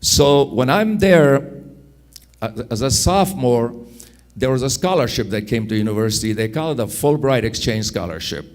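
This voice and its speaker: A man in his sixties from Afghanistan with an Afghan accent, telling personal stories in an unhurried, anecdotal way, repeating phrases for emphasis. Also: mid-range voice, lively intonation. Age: 60s. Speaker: speaker telling his life story. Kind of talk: personal storytelling.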